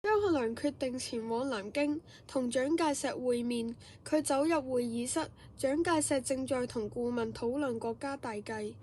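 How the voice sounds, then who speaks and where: Female radio drama narrator, soft dark timbre, high register, scripted read. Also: moderate pace, few pauses, slight background noise.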